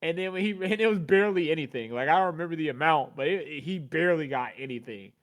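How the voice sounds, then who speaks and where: A man's voice: a little high, fast talker. A man co-hosting a casual commentary chat, rambling through long points full of fillers and asides. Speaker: co-host.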